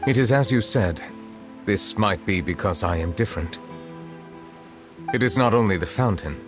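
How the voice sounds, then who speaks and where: A low, formal male voice over game music, an actor playing an ancient dragon who serves as a solemn chief judge. His speech is measured and grave. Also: natural voice. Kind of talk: video game voice acting. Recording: game audio with music behind.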